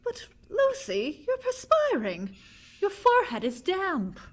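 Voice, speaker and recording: A woman's voice: extremely high pitch, huge pitch swings, slow pace, full character voice. An actress playing a frightened young Victorian woman, telling her tale dramatically in a vintage radio drama. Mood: gentle concern.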